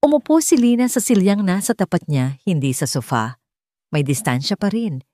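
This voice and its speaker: Filipino woman, audiobook narrator, dramatic scripted storytelling, slightly low pitch, dry sound without room echo. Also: animated, normal speed, mellow.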